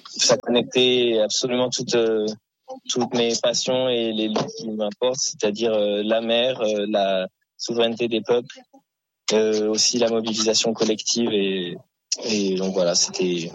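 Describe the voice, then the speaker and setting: Man guest, lowish voice, stop-start delivery; dry, slightly muffled studio sound. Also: level tone. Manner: halting with frequent fillers. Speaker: activist guest. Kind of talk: studio radio interview. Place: radio studio.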